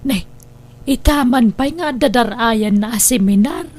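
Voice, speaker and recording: A suspicious older mother in a radio drama. Her voice is high, with a nagging, questioning edge. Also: lively intonation, a little slow, a little mellow. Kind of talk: Ilocano radio drama acting.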